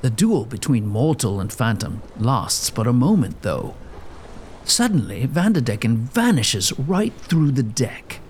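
A man's voice: medium pitch, sing-song, measured pace, a little mellow, a little hiss or hum. A man giving dramatic scripted narration as the storyteller of an audio drama.

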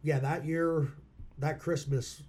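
A hoarse man from Pennsylvania, a local musician, telling stories about his past in a plain-spoken way. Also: on the high side, level tone, takes it slowly, a little mellow. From Schuylkill County, Pennsylvania. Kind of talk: casual interview chat.

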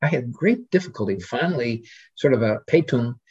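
Husky-voiced male scholar in conversation. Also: mid-range voice, expressive, normal speed, darkish tone, dull audio. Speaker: guest literary scholar. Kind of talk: informal academic discussion.

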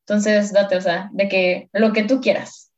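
A woman's voice: mid-range voice, flat delivery, unhurried. A young woman hosting a podcast, talking in a laid-back, friendly, rambling way. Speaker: podcast host. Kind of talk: casual chat. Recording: slightly dull lo-fi podcast audio.

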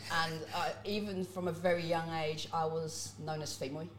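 A young woman who grew up in the Netherlands, a podcast guest telling her stories in a relaxed, candid way. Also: low-pitched, normal speed, a little hiss or hum, low-quality recording. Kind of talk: casual interview chat.

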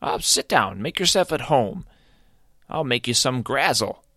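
A man's voice: sing-song, a little slow, bright, forceful tone, no echo, studio quality, full character voice. A man voicing a small, kind, blue-skinned male creature who welcomes a stranger into his home. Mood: friendly. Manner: high, eager, welcoming.

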